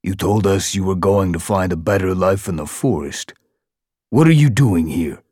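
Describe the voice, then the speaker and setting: A man's voice: low-pitched, normal speed, a little mellow, husky, dry recording, clean studio sound. A man doing a hostile, burly alley-cat guard. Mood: suspicious. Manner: suspicious, demanding.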